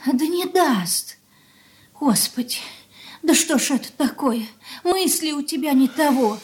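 An actress playing an anxious, meek middle-aged mother in a radio drama, with plaintive, gentle, folksy speech. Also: high voice, animated, a little slow. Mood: anxious, pleading. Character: anxious, meek, pious, lamenting mother of grown son. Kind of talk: Russian radio drama acting.

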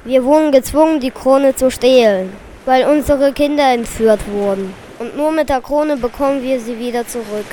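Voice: sits high, normal speed, mellow, close to an everyday voice, rough recording. A child of about eight or nine playing earnest, desperate adventurers in an amateur school radio play. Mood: pleading. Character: desperate kidnapper-parent and loyal sailors, earnest. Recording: clear background noise, amateur recording.

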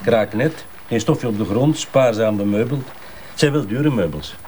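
Actor as calm, methodical Flemish police inspector. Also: male voice, on the low side, a little hiss or hum, lightly acted. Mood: matter-of-fact. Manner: measured, matter-of-fact. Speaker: actor in a radio crime drama. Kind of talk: naturalistic radio drama acting.

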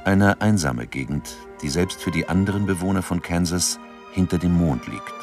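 A man narrating a radio drama in a measured, sober, scripted documentary style. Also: low voice, faint music behind.

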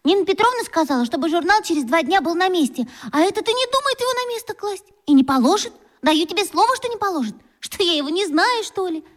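A woman's voice: very high voice, lively intonation, fast, few pauses. An actress playing a chatty, gushing, opinionated schoolgirl in a children's radio play. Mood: chatty, indignant.

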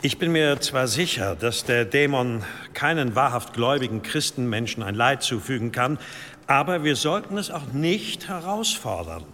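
Actor as a solemn monk in a reverberant hall. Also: male voice, medium pitch, animated, normal speed, fairly bright tone, natural voice. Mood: grave, solemn. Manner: formal, grave, unctuous toward superiors. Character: solemn, deferential monk preaching of a demon. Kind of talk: radio drama oratory. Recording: long church-like reverb, faint noise.

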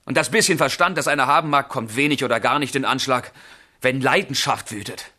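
Passionate, husky young romantic. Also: male voice, mid-range voice, a little fast, pushed and bright, no echo, studio quality. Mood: fervent, arguing. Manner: fervent, declamatory. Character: passionate, brooding young man of the 1770s. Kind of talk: literary radio drama, dialogue and letter monologue.

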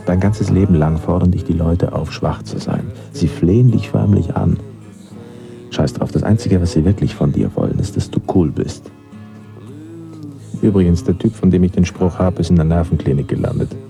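Gravelly, laid-back young buddy. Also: male voice, sits low, music in the background. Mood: dry, musing. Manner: dry, philosophizing, unhurried. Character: laid-back young male buddy dispensing cynical life advice. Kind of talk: naturalistic radio play dialogue.

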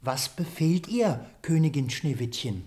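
An actor playing an obedient, timid general of the guard in a fairy-tale radio play, military yet halting and deferential. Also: male voice, a little high, animated, darkish tone, husky.

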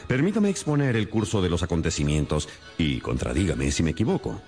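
A shrewd, stern radio-drama detective with a lowish, hugely animated voice. He lays things out deliberately and theatrically, over music. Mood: stern, accusing.

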